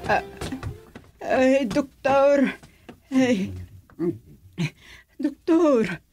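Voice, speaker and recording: An anguished mother in an Ilocano radio melodrama. She speaks very slowly in a high voice with an Ilocano accent. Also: animated, darkish tone, soft background music, exaggerated voice. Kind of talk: Ilocano radio drama acting.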